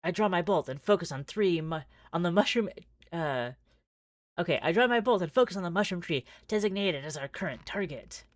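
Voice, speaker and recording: Woman streamer reading a visual novel out loud, giggly, trips over lines, super dry close sound. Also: lowish voice, fairly quick, dry recording. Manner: expressive, giggly, often stumbles and restarts. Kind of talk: livestream read-aloud of game dialogue with casual asides.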